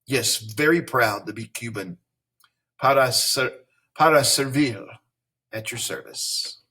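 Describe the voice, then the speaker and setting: A man reading a children's picture book aloud, pausing to explain the story and ask young listeners questions, and going back to fix his own misreadings. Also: medium pitch, sharp tone, breathy edge.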